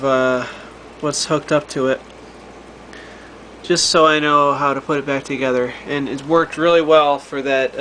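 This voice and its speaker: Male hobbyist vlogger, slightly high voice, unscripted and halting show-and-tell, lightly noisy homemade audio. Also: a bit bright. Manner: halting, thinking aloud while handling the object. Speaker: hobbyist vlogger showing a radio repair project. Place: indoors at home.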